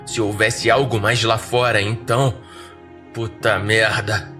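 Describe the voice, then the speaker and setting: A man with a Brazilian accent narrating a first-person horror story in a dramatic, wry and expressive way. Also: lowish voice, a little slow, soft background music.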